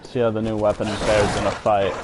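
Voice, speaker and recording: A man's voice: fairly low pitch, fairly quick. A man giving casual live commentary as a game streamer, rambling and thinking aloud as he plays. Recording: noisy home stream, steady loud background noise.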